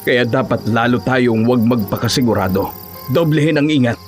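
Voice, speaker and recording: A man's voice: mid-range voice, fairly quick, soft background music, close to an everyday voice. A man playing a desperate, resolute husband who hunts monsters in an audio horror drama. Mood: cautious.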